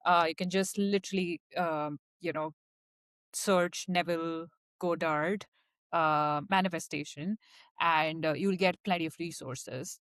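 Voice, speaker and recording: A woman's voice: on the low side, steady tone, measured pace, a bit bright, no echo. Chatty woman guest, native Hindi speaker. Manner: chatty, explanatory, many "like" fillers. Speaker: podcast guest, data scientist. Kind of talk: casual interview chat.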